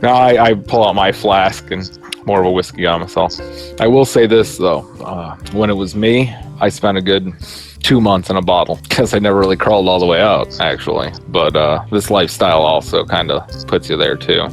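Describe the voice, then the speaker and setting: A man's voice: deep voice, normal speed, vocal fry. A man talking casually as a player in an online tabletop role-playing game, describing and voicing his character's choices. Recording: faint music, online group call.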